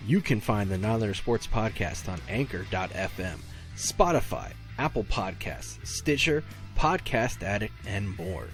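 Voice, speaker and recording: A man's voice: fairly low pitch, expressive, measured pace, fairly bright tone. A man reading a scripted podcast promo as an announcer. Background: faint music.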